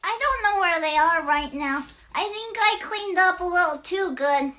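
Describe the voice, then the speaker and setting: A woman voicing an excited, friendly little girl puppet who chats eagerly and haltingly in a children's livestream show. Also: extremely high pitch, full character voice. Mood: cheerful, chatty.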